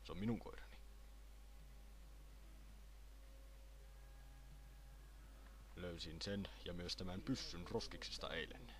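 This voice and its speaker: Man putting on a very deep deadpan hunter. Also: a crowd in the background, lightly acted. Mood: deadpan, menacing. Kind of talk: amateur comedy fan dub of an anime.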